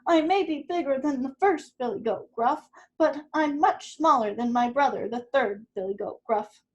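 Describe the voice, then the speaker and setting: A woman voicing the middle-sized billy goat, polite and nervous, speaking up a little higher than her narration. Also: normal speed, dull audio, close to an everyday voice. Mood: earnest.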